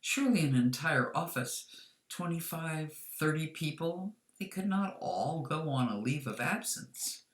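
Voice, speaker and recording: A woman voicing an elderly, courteous male crime boss with formal, deliberate speech, in a story reading. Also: low voice, expressive, reverberant. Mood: careful, probing.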